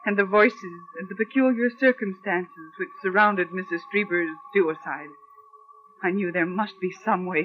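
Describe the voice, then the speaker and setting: A woman's voice: medium pitch, lightly acted. Casting: actress as earnest female secretary, suspicious and resolute, old-time radio drama with muffled lo-fi sound. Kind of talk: old-time radio mystery acting with narration. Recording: dull lo-fi old radio recording.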